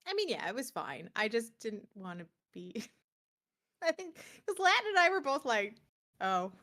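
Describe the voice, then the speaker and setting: A woman's voice: a little high, very animated, measured pace, pushed and bright. A woman co-hosting a gaming show in casual, informal conversation, telling things in an anecdotal way. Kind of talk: casual chat about video games.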